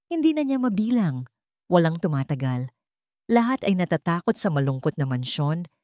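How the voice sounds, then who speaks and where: A woman reads a sentimental story as a dramatic narrator. Her voice sits fairly low and moves through wide, animated swings of pitch. The delivery is measured and earnest, recorded dry and close. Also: dry recording.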